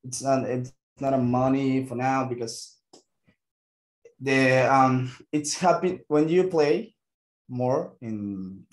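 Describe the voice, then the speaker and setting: A young man with a Mexican Spanish accent answers questions at a press conference. His English is halting, full of restarts and pauses as he reaches for the right word. His voice sits in a medium range. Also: medium pitch, rough recording. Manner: halting, searching for English words, many restarts. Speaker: football player.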